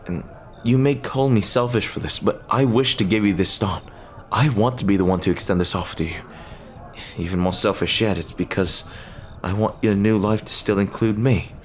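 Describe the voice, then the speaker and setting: A man playing an earnest, lovestruck pirate captain in an ASMR roleplay, speaking haltingly and tenderly in formal, old-fashioned phrases. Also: on the low side, lightly acted. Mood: tender, pleading. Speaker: audio roleplay performer.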